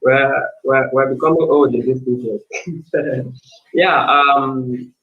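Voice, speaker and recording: A man from Nigeria, a guest in an interview, friendly and relaxed, with lots of "you know" fillers. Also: medium pitch, slow pace. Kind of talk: casual interview. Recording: tinny phone-like call.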